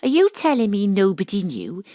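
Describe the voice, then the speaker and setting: Wry detective, dramatic narration, very lively pitch, close dry sound, comic audio play. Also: female voice, normal speed, dry recording. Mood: wry, businesslike. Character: Astrid, wry female Welsh private investigator narrating.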